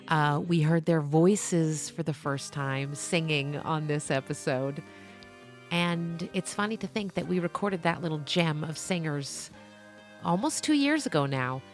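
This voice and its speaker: A woman hosting and narrating a story podcast in an expressive storybook style. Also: deep voice.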